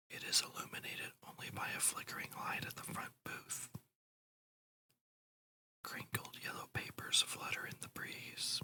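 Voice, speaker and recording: Breathy whisper, bright airy tone, ASMR guided meditation, slow soothing delivery, deliberate phrasing.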